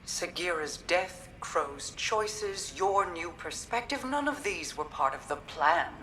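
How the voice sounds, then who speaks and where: A calm resolute queen for a game, deep for a lady, restrained and formal, bit of ambience. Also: takes it slowly, a little hiss or hum. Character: regal, composed queen, guarded and calmly resolute. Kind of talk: dramatic video game dialogue.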